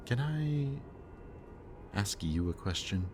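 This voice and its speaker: A man speaking a gentle, hesitant roleplay monologue to the listener as a soft-spoken villain. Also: lowish voice, animated, drawn-out words, noisy background.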